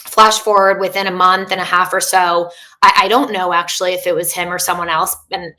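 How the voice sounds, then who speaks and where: A woman's voice: on the low side, little pitch movement, a little fast, sharp tone. A woman in her thirties, a coach talking as a podcast guest, speaking openly in a chatty way with many fillers and restarts. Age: 30s. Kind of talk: candid casual interview.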